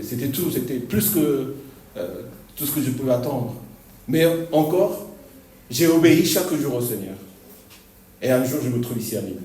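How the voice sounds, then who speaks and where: Pastor preaching in an echoey hall. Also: male voice, mid-range voice, dark tone. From Argentina. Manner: halting, repeats words, laughs often. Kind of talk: sermon. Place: church hall. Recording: echoey hall, distant mic.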